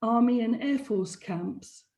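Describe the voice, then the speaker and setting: A middle-aged woman from England, a native Polish speaker, giving a prepared slide lecture as an architect in measured, deliberate phrases. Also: a little high, a little mellow. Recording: echoey, roomy sound.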